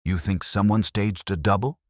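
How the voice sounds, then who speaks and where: A man's voice: on the low side, fairly even intonation, moderate pace, no echo, studio quality, pitched above the performer's own voice, close to an everyday voice. A man voicing a puzzled police sergeant sidekick in an audio mystery story, speaking in a questioning, tentative way.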